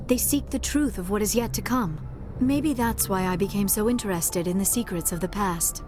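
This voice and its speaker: A woman's voice: mid-range voice, lightly acted. An actress playing a shy, earnest young female alien archaeologist in a video game, with formal, precise diction and a socially awkward manner. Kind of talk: video game dialogue acting. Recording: music behind the dialogue.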